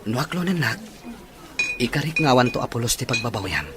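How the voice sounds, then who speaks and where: A man's voice: medium pitch, animated, fast talker, very noisy, close to an everyday voice. A man with an Ilocano accent playing a tender, hopeful young suitor in a serial drama. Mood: earnest pleading. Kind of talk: Ilocano radio-style serial drama acting.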